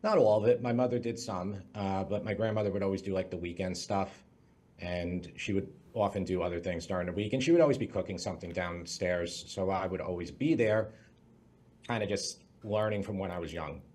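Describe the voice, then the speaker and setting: A man's voice: low voice, a little fast, darkish tone. A man from Long Island, chatting casually as a podcast guest. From Long Island, New York. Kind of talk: casual conversational recollection.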